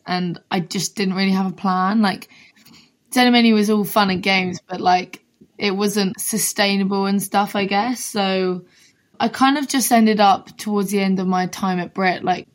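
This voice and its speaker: A young woman with a husky voice, a singer-songwriter from London, chatting casually as a guest and filling her talk with "like". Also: mid-range voice. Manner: chatty, peppered with "like". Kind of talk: casual interview chat.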